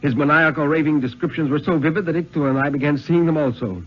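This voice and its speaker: A dull vintage radio recording carries a man's slightly high, husky voice. He reads a suspense story in the first person with theatrical weight and deliberate phrasing. His pitch moves freely as the tension shifts. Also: faint music behind. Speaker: storyteller reading a thriller.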